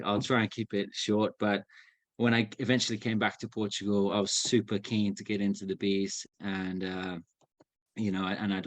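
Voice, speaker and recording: A young man from England giving an informal talk over a video call, relaxed, with many fillers and restarts. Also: lowish voice, no room sound. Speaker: guest speaker. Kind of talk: informal online presentation.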